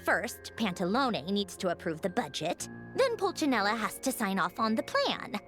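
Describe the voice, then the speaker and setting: A breezy woman engineer in a video game, with very animated pitch swings. She is teasing, theatrical and sure of her authority, with music playing behind her. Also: normal speed. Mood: brisk, matter-of-fact.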